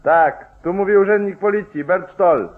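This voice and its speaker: A man's voice: high-pitched, normal speed, close to an everyday voice. Thin-sounding, dutiful police clerk, played by a man. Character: dutiful male police clerk, sheriff's aide. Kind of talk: 1940s Polish radio play acting. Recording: thin, phone-like old radio recording.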